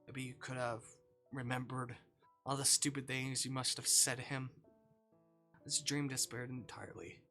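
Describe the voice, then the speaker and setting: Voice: mid-range voice, steady tone, normal speed, pauses often, sharp tone, soft background music. A streamer of ambiguous gender reading a visual novel aloud as dramatic live narration, sometimes stumbling over words. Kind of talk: live narration of a story game, read aloud with character voices.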